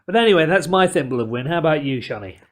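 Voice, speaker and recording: A man's voice: on the high side, animated, a little fast. A man hosting a podcast, chatting casually in a wordy, emphatic, effusive way. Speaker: podcast host. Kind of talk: casual chat.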